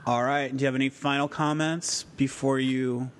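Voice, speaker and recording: A man co-hosting a casual podcast, with a smooth, soothing voice and a laid-back, dryly humorous manner. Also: steady tone, moderate pace. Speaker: podcast co-host. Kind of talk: casual podcast chat about a TV series. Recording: clear low rumbling background noise.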